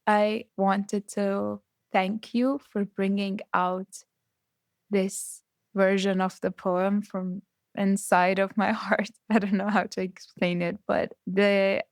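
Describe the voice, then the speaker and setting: Young woman guest, tearful and heartfelt, gravelly edge, dry close sound. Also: medium pitch, flat delivery, takes it slowly, dry recording. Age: 20s. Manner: heartfelt, emotional. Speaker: guest poet.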